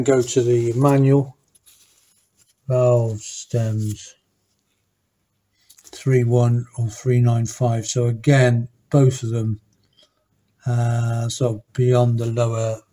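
A man's voice: mid-range voice, dark tone. A man explaining a motorcycle engine rebuild as he works, thinking aloud with fillers and restarts. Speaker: motorcycle mechanic. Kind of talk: hands-on workshop commentary.

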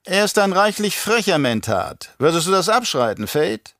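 A man's voice: high voice, very animated, moderate pace, a bit bright, no echo, clean studio sound, voice pushed up, exaggerated voice. A man playing a scheming, imperious older baron in an audiobook, with a mocking, condescending, theatrical delivery. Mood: sneering. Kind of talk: audiobook character acting.

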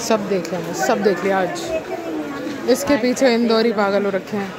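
A woman's voice: medium pitch, measured pace. A woman chatting offhandedly as she walks through a night street food market, filming on a handheld phone. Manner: offhand, chatty, mixing in English words. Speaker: vlogger touring a food market. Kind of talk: casual chat while walking. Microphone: handheld phone camera. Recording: loud crowd noise, phone mic. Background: loud crowd chatter.

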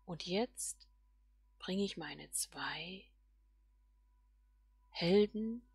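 A woman giving casual game commentary, musing softly and half whispering to herself. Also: fairly low pitch, very slow, husky, dry recording, studio quality. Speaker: let's player.

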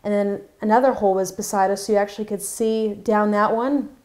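Give me a chatty woman co-host with a mellow voice. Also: medium pitch. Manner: chatty and animated, quick restarts, laughs easily. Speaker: fishing show co-host. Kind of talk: casual chat. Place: bright indoor studio at a table.

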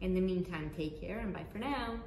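A woman's voice: fairly low pitch, fairly quick, vocal fry, warm tone. Woman, ceramic artist, formal delivery, distant roomy sound, faint room noise. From Hong Kong. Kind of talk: scripted video introduction. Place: ceramics studio in Hong Kong.

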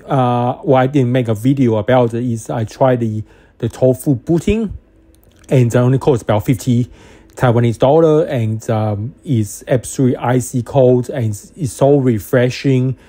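A man's voice: medium pitch, dark tone. A man casually reviewing food in a vlog, with many fillers and hesitations and eager praise for each dish. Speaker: food vlogger. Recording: street noise behind.

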